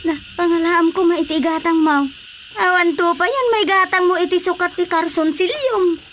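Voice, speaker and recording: A high-voiced woman playing a scolding village wife in a radio comedy drama. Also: moderate pace, fairly bright tone, a little hiss or hum. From Philippines.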